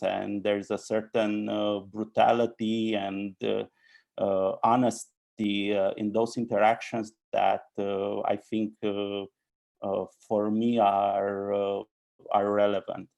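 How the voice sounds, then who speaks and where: A man speaks slowly and unhurriedly, his low voice crackling with gravel. He stays in a flat, narrow tone, pausing often and restarting his sentences. It comes through a video call. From Romania. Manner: halting, many fillers and restarts. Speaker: architect and author. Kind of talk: hesitant conversational answers.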